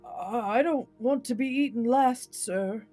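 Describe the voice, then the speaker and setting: Woman doing a shaky stammering blacksmith guy, high and scared. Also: measured pace, mellow, soft background music, close to an everyday voice. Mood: timid. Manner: stammering, timid, polite. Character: timid trembling male village blacksmith.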